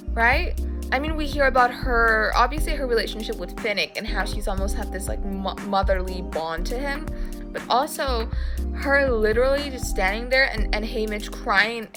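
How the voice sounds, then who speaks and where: Excitable woman with a light Thai accent. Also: fairly high pitch. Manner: excitable, dramatic, rambling with restarts. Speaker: book vlogger. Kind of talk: casual reading reaction vlog. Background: faint background music.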